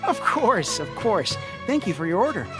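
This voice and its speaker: A woman's voice: medium pitch, sing-song, normal speed, lightly acted. A woman voicing a friendly, easygoing food stall vendor with polite patter in a fan dub of a video game. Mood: accommodating. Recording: amateur recording with music behind.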